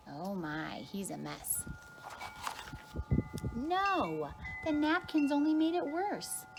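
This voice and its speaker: Expressive woman reading a picture book. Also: fairly high pitch. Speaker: kindergarten teacher reading aloud. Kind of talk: expressive children's picture-book read-aloud.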